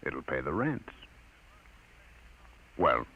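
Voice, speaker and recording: A man's voice: very deep voice, very animated, extremely slow, gravelly, breathy edge, heavily acted. A man playing a cold, condescending newspaper boss with an American accent, brusque in 1950s radio drama style. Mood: coolly firm. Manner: brusque, patronizing. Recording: dull vintage 1950s radio recording.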